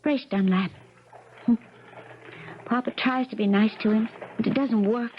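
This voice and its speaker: An actress as an earnest young preacher's daughter with a very high voice. She speaks in a folksy, pleading country way, in an old radio drama with a dull, slightly noisy sound. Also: a little slow, natural voice. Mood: worried, sorrowful. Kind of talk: 1970s radio drama acting.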